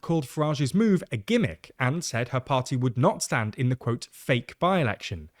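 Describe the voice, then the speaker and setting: A man's voice: mid-range voice, very animated, moderate pace. A man reading scripted narration for a news explainer.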